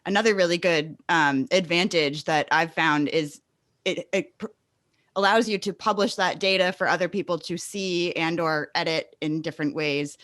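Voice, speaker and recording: Woman, interview host, deep for a female voice, agreeable conversational delivery, very dry recording. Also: moderate pace, a bit bright, no echo. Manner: affirming, agreeable. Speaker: podcast host.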